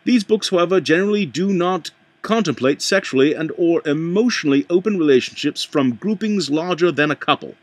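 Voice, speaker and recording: Halting man reading aloud, lively pitch. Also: dry recording. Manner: halting, stumbles and rereads lines; puts on an accent while reading. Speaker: amateur reader.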